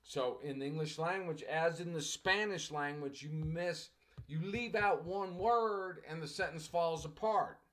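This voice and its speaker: A man's voice: a little high, unhurried, fairly bright tone. Chatty, repetitive male English teacher. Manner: conversational, repetitive, talks directly to class. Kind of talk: recorded class lecture.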